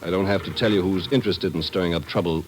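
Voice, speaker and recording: An actor playing an earnest, heartfelt Israeli airline part-owner and former refugee in a 1950s radio drama. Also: male voice, sits low, fast, close to an everyday voice. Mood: grave, worried. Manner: earnest, heartfelt, hesitant at times. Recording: vintage radio recording with background noise.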